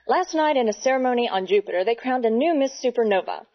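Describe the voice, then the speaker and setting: An actress playing a composed, polished space news anchor reading mock TV news. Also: female voice, on the high side, expressive, fast. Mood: pleasant. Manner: polished, newsreader-like. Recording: slightly dull studio sound.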